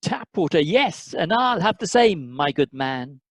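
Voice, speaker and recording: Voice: mid-range voice, sing-song, a little slow, no room sound. An amateur actor playing a pompous, thrifty English retired civil servant husband, fussy and superior, in a radio comedy. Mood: pompous, satisfied.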